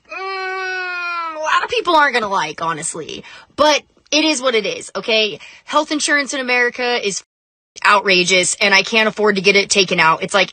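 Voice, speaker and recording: A woman's voice: a little high, sharp tone, no room sound. A woman talking casually to camera in a rambling, chatty way, full of "like" and "um". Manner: chatty, rambling, uses fillers like "like" and "um". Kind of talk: casual vlog confession.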